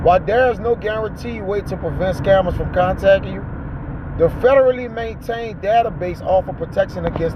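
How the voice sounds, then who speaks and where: A man with a notably high voice gives preachy, off-the-cuff commentary. He speaks in a colloquial, exhorting way, tagging his points with little check-in questions. The sound is dull and muffled, with plenty of road noise from the car around him. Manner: exhorting, colloquial street-preacher talk with "all right?" and "man" tags. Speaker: host of a ride-along talk show. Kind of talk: preachy casual commentary, reading an article aloud and riffing.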